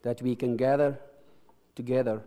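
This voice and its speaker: A middle-aged man with an Afrikaans accent delivers a sermon in an earnest, halting way, stressing words and restarting phrases. His voice is dark and mellow at a mid pitch. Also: fairly even intonation. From South Africa. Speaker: preacher. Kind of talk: sermon with opening prayer.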